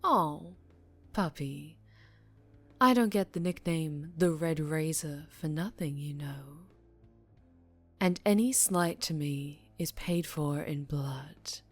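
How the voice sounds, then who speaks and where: A woman playing a dangerously charming female mafia boss in an ASMR roleplay, teasing and menacing, with deliberate purring delivery that drops toward a whisper. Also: low-pitched, breathy edge, faint music behind, full character voice. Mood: taunting, teasing, then cool menace. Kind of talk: ASMR roleplay audio drama, addressing the listener.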